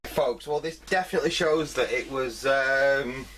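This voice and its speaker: A man's voice: medium pitch, unhurried, fairly bright tone, a little hiss or hum. A man giving a casual hands-on repair commentary, thinking aloud hesitantly in fragments. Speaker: host of a vintage electronics repair video.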